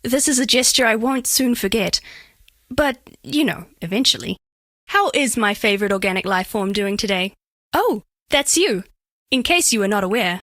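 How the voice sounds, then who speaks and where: A woman's voice: mid-range voice, animated, moderate pace, a bit bright, no echo, clean studio sound. A woman voicing a bashful, flirtatious female alien made of living diamond in a video game, speaking in precise, oddly formal, earnest phrases. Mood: affectionate, matter-of-fact. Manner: precise, stilted formal diction, earnest, coyly playful. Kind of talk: scripted game character voice lines.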